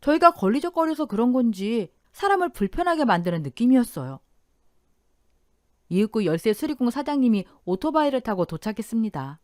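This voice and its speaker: A woman tells a first-person story in a steady, confiding tone, and her voice moves a lot in pitch and sits fairly high. The recording is dry and close, with no room sound. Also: brisk, no room sound. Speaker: story narrator.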